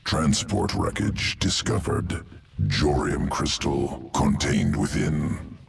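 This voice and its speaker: An actor playing a cold, obsessive alien monster scientist in a video game, guttural and speaking in clipped phrases. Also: male voice, a little hiss or hum, heavily acted. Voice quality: gravelly, guttural, very deep. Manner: clipped phrases without articles, slow and detached. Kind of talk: video game character acting.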